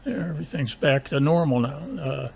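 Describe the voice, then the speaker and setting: A man talking casually and haltingly as an amateur radio operator. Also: fairly high pitch, creaky, slight background noise. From United States. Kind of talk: casual ham radio chat.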